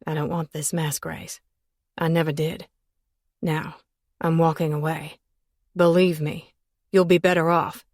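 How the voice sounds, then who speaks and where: A woman narrator voicing a cold, bitter man who speaks in a low, gritted, clipped way. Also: level tone, measured pace, long pauses, a little mellow, dry recording, studio quality.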